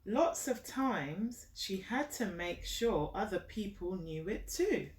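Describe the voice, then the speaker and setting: A woman reading a children's book aloud in an encouraging, teacherly way, pausing to prompt a young listener. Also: mid-range voice, very animated, a little mellow. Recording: roomy echo, distant mic, faint noise.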